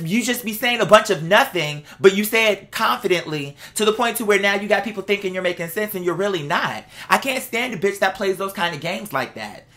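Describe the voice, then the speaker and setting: A woman's voice: mid-range voice, fairly bright tone. A woman ranting casually to her viewers, blunt, sassy and exasperated, with emphatic, slangy, profanity-laced delivery. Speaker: vlog host. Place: indoors at home.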